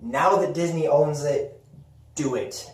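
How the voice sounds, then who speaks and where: A man's voice: on the high side, takes it slowly. Echoey, enthusiastic man hosting, off mic. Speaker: host of a pop culture video show. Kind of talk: casual enthusiastic fan discussion. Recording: roomy echo, distant mic.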